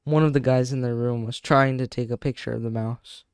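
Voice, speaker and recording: A man's voice: medium pitch, steady tone, fairly quick, darkish tone, clean tone. A man reading a horror story aloud in a casual, stumbling way, breaking off to react. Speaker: video creator reacting and narrating.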